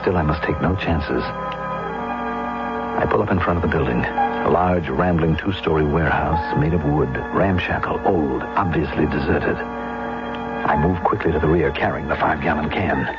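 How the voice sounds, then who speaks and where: An actor playing a deranged arsonist and killer, narrating in a 1970s radio drama with intense, deliberate phrasing. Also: male voice. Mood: tense, exhilarated. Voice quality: low-pitched. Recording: dull vintage radio recording. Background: loud dramatic music.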